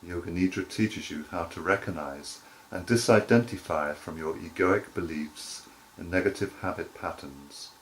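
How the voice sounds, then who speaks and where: A man teaching guided relaxation in measured, deliberate phrases with short pauses. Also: low voice, slight background noise, poor audio. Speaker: yoga nidra teacher.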